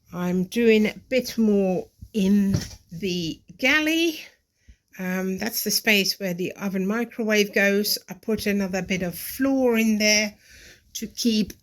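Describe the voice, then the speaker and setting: Woman vlogging her diy progress, real slow and unhurried, bit stuffed up with a cold, chatty and matter of fact. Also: mid-range voice. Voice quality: nasal. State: has a cold. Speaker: boat renovation vlogger. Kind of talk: casual progress-update narration.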